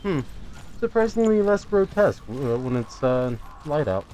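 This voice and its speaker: A man's voice: fairly high pitch, big pitch swings, moderate pace, warm tone, poor audio. A man doing casual let's play commentary, joking in an offhand, wry way. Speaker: gamer, let's play host. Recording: faint background noise, game ambience.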